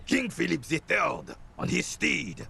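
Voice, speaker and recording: An actor playing a rigid, proud old royalist soldier in a video game, with a raspy voice and a pompous, emphatic delivery. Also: male voice, a little high, very animated, a little slow, pushed and bright, full character voice. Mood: proud, fervent. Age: older. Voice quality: gravelly, raspy.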